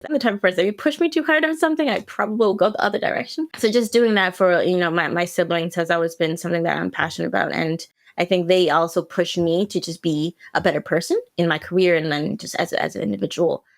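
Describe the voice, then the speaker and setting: Quick-talking woman from South Sudan. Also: fairly low pitch. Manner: quick, with frequent restarts and laughs. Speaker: guest. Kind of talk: casual interview answer.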